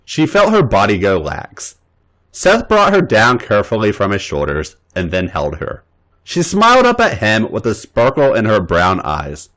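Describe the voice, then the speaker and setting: A man hosting a podcast, reading fan fiction aloud in an expressive storytelling manner. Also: lowish voice, normal speed. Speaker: podcast host and reader.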